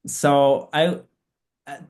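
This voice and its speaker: Guatemalan guy, k'iche' first language, halting english, keeps hunting for words. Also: on the high side, little pitch movement, darkish tone. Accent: Guatemalan Spanish. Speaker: guest, physical therapist missionary. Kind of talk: conversational interview.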